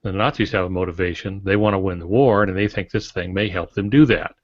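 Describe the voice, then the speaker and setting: Older man, low-pitched, halting explanatory talk, co-host, dull lo-fi recording. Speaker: podcast co-host, writing instructor. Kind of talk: explanatory panel discussion on fiction writing. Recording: muffled, roomy lo-fi podcast audio.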